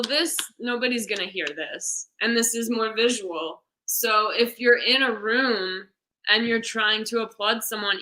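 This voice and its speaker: A woman's voice: a little high, pushed and bright, rough recording. An American woman guest chatting casually in an interview, explaining things step by step in an informal way. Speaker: guest, sign language interpreter and theater stage manager.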